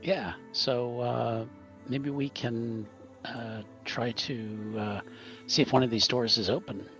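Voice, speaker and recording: A man playing a tabletop roleplaying game, chatting casually and haltingly with repeated words. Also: mid-range voice, fairly even intonation, fairly bright tone, soft background music. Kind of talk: casual chat.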